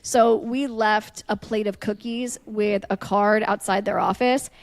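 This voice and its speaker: A woman's voice: medium pitch, fairly even intonation, unhurried, dry recording. A woman chatting casually as a podcast host, telling a story and acting out what people said.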